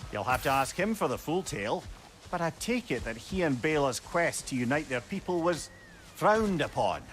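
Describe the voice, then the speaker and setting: A man's voice: a little high, sing-song, normal speed, a bit bright, a little hiss or hum. A man with a Scottish accent playing a wry, garrulous old sage in a video game, chatty and learned. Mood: chatty, informative. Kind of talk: video game cinematic acting.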